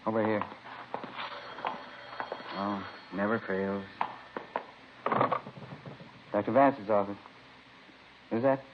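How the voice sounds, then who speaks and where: An actor playing an unhurried, folksy police medical office clerk in an old radio drama. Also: male voice, fairly low pitch. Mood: chatty, routine. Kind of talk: 1950s radio drama acting. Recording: muffled, noisy vintage radio recording.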